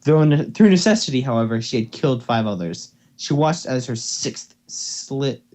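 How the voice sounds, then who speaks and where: A man's voice: medium pitch, animated, normal speed, a little mellow. A young man giving a casual amateur reading of a story, playful and stumbling, with offhand asides. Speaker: reader. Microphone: headset or desktop mic on voice call.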